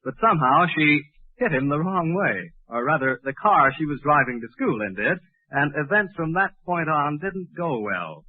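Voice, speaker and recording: A man's voice: on the high side, animated, moderate pace. A man announcing and narrating a comedy show in scripted 1940s radio style. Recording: muffled old 1940s radio recording.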